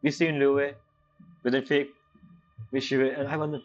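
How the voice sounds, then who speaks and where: A man with comments casually over a game. His voice is mid-pitched and informal, full of slang and muttered reactions. The recording is slightly dull. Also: level tone, normal speed, slight background noise. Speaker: gamer commenting on a playthrough.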